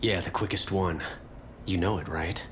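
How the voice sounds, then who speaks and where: A determined young soldier in a video game cutscene. His voice sits fairly low, and he talks in a curt, businesslike way. There is faint noise behind him. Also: normal speed, fairly bright tone. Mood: businesslike, firm. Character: determined young male soldier seeking answers about his father. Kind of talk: English-dubbed video game cutscene acting.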